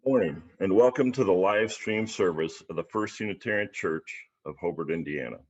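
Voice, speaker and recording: A man with a very low voice acts as host of a service. He reads out a formal welcome and announcements in a somewhat halting way. The sound is dull and lo-fi, as on a video call.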